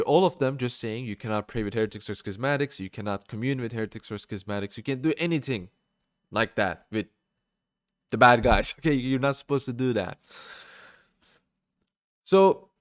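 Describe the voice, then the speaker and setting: A man's voice: medium pitch, no echo, clean studio sound. A man giving informal religious commentary and scripture readings, emphatic, with colloquial asides and rhetorical questions.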